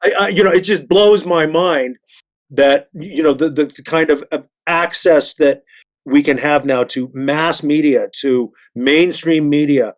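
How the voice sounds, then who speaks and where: An older man speaking as a guest in a casual discussion, in an earnest, halting way with frequent stammered repeats. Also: on the high side. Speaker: actor guest.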